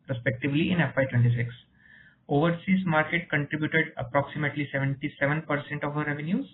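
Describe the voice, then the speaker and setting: A man's voice: on the high side, slight background noise. Man reading formally over narrow phone line. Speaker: company executive. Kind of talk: scripted earnings call presentation. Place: conference call line. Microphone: telephone.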